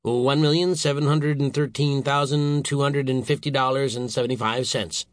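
A man voicing a mild, contrite scientist in an audiobook, speaking in a measured, deferential and apologetic way. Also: mid-range voice, fairly even intonation, no room sound, clean studio sound, close to an everyday voice. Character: mild, contrite, small male scientist reporting to his boss. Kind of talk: audiobook character voice.

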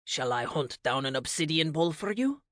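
An eager, bookish young lad played by a woman narrator from a fantasy audiobook. He is excitable and earnest with a sassy streak, and his pitch leaps around in a close, dry recording. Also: normal speed, no echo. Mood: earnest, curious.

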